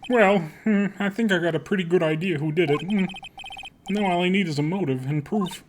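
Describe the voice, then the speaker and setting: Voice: mid-range voice, brisk, noisy background, lightly acted. A streamer playing an earnest young male defense lawyer in a live game dub, with dry, sarcastic asides. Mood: pensive. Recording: music playing behind the voice.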